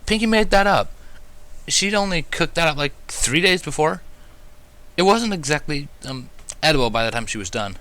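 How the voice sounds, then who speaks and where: A man voicing an eager, friendly little boy dragon with excitable, slangy, chatty delivery in a fan fiction audiobook. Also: fairly high pitch, moderate pace, voice pushed up. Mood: chatty, wry.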